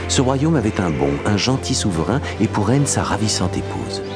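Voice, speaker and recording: A man narrating an animated fairy tale as a storyteller, measured and dramatic. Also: low-pitched.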